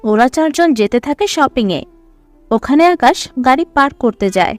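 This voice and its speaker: A woman's voice: high-pitched, animated, normal speed, no room sound, soft background music. A woman narrator reading a scripted dramatic story.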